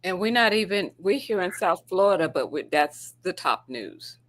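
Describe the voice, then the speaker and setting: Female co-host from the US, slightly low voice, informal morning chat with a conversational storytelling bent. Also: expressive, normal speed. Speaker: talk show co-host. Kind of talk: casual morning show chat.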